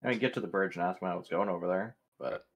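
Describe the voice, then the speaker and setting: A man's voice: low voice, quick pace. A man playing a tabletop game, chatting casually with a wry manner.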